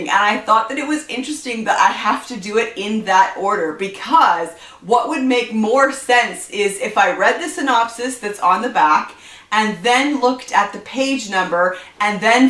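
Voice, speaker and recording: A woman's voice: mid-range voice, a bit bright. Chatty stuffed-up woman, roomy and distant. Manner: chatty, rambling, frequent fillers. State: stuffed up from allergies. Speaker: book vlogger. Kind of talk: casual chat to camera.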